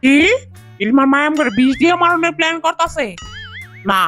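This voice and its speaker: A hot-tempered gangster ant chief from a cartoon , put on by a performer. He barks commands quickly in a raised, streetwise voice over children's music. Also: high-pitched, bright, forceful tone. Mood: angry, commanding. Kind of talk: cartoon voice acting.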